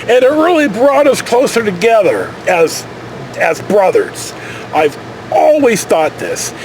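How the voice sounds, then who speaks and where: High-pitched, animated American man telling stories. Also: takes it slowly, slight background noise, rough recording. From California. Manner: folksy, mildly profane, digressive. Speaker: storytelling vlogger. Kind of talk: casual rambling anecdote.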